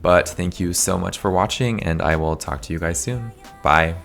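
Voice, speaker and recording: A young man from Canada, slightly hoarse from losing his voice, giving a chatty, rambling voice-over commentary with lots of "like". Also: low voice, moderate pace, fairly bright tone, soft background music. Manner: chatty, rambling, says "like" often. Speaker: video game builder, channel host.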